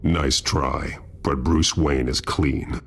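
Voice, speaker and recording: An actor playing a grim masked vigilante in a video game, speaking in terse, intimidating threats. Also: male voice, extremely low pitch, steady tone, slow pace, slight background noise, full character voice. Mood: cold menace. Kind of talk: dramatic video game acting.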